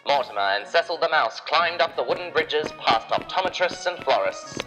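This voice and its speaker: A man's voice: a little high, expressive, moderate pace, music in the background, poor audio. A man narrating a children's adventure story in a dramatic, scripted storytelling style.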